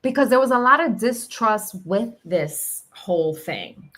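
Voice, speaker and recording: Slow, bright-voiced woman reflecting. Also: fairly high pitch, animated. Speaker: podcast host sharing personal reflections. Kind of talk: reflective, casual spiritual conversation.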